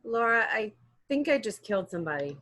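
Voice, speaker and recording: A woman's voice: medium pitch, very animated, brisk, a bit bright, a little hiss or hum. A woman playing a distressed, mysterious helpline caller in an amateur horror script reading. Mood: distraught, shaken.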